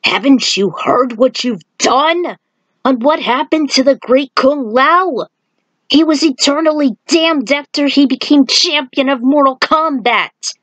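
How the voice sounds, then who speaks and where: A woman's voice: high-pitched, a little slow, fairly bright tone, no room sound, voice pushed up, low-quality recording. A woman voicing a distraught warrior monk who warns of a curse in an urgent, grim, emphatic way for a story.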